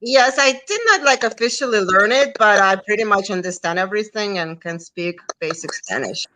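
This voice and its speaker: A woman, talking from home over a webcam. Her voice is bright and clear, and she speaks softly and matter-of-factly, with plenty of quiet mm-hmms. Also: medium pitch, moderate pace, a little hiss or hum, poor audio. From Russia. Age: 40s. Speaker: guest. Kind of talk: conversational interview. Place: home room, indoors. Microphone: video call webcam.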